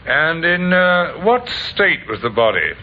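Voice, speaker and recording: A man playing a thoughtful, methodical police inspector in an old radio drama, hesitant and deliberate in his questions. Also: fairly high pitch, very animated. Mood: pensive. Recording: muffled old radio recording, faint hiss.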